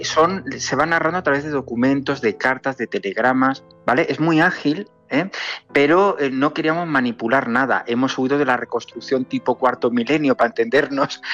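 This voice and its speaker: A man's voice: mid-range voice, fairly bright tone. Andalusian man, dull lo-fi interview sound. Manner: thoughtful, with frequent restarts and fillers. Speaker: film director. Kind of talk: interview answers.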